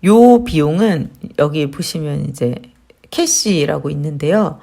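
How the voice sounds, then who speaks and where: A woman's voice: low-pitched, lively intonation, measured pace, vocal fry, mellow. A woman in her thirties giving a friendly, explanatory screen-share tutorial on marketing, with fillers as she talks. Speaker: marketing instructor.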